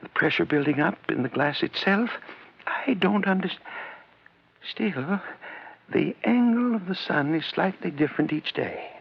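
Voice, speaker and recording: Excitable scientist, higher-pitched, old radio. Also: male voice, vocal fry, breathy edge, heavily acted. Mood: earnest, anxious. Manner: emphatic, halting, explanatory. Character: excitable, earnest male scientist explaining his theory. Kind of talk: 1950s radio drama acting. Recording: muffled vintage radio recording.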